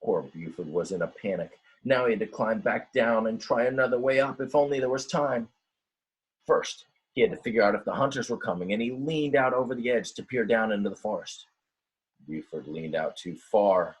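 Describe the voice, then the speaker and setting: A man reading a children's storybook aloud in a dramatic, expressive way, with simple explanatory asides for young listeners. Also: fairly low pitch, moderate pace, slightly rough, poor audio. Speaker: teacher reading a picture book aloud.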